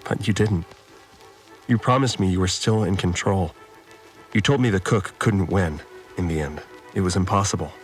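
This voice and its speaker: A male actor playing a troubled, obedient farmer confined on a spaceship, giving a halting, confessional audio diary in a science fiction drama. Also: low voice, lightly acted. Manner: halting, repeats words, confessional. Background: music.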